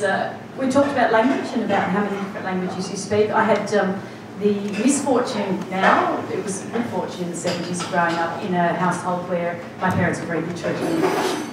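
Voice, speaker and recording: A woman's voice: medium pitch, background noise. A woman giving a reflective personal talk at a public forum, with frequent ums and restarts. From Australia. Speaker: forum speaker. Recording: echoey hall recorded from a distance.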